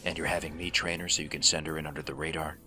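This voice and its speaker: A man playing a gruff, sardonic veteran soldier in an audio drama, terse and deadpan, with a gravelly voice. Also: rumbling low, racing, exaggerated voice. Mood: sardonic, skeptical. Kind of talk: scripted audio drama acting. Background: loud music.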